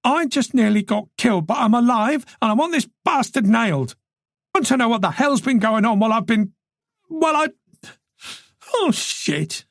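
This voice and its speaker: A narrator playing a distraught policewoman, pitched high. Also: big pitch swings, no room sound, clean studio sound, heavily acted. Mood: fierce, upset. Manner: urgent, halting, breaking off mid-sentence. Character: distraught, shaken policewoman, pleading and fiery.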